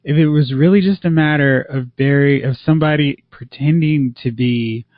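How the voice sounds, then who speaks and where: A man's voice: fairly high pitch, moderate pace, dry recording. Male podcast co-host, chatty and opinionated, dry close mic, dull lo-fi sound. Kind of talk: casual TV review chat. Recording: slightly dull lo-fi audio.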